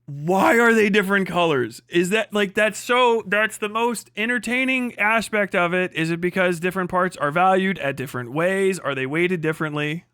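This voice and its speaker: Male stream host, casual reaction commentary: high-pitched, dry close sound, sardonic and foul-mouthed. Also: moderate pace, few pauses, bright, forceful tone, clean tone, dry recording. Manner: sweary, exasperated, mocking. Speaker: livestream host.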